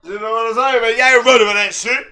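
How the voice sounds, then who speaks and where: A man's voice: very high-pitched, fairly even intonation, fast, sharp tone. An American man co-hosting a podcast in casual banter, slangy and profane, repeating himself for emphasis. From United States. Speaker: podcast co-host.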